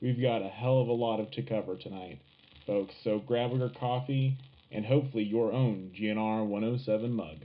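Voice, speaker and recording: A man's voice: fairly low pitch, normal speed, lightly acted. A man playing a patriotic wartime radio host, delivering scripted news in a dramatic, emphatic announcer style. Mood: urgent, resolute. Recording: tinny narrow-band radio sound, faint music.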